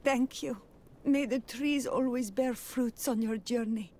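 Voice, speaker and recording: A woman's voice: a little high, a little slow, dark tone, natural voice. A woman playing a proud, grateful freed captive in a video game, speaking in a solemn, heartfelt way. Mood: grateful, determined. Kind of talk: scripted video game acting.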